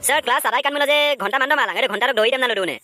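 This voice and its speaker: High, bright cartoon schoolchild, acted out, excitable tattletale, faint music behind. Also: racing. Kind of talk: cartoon comedy dialogue.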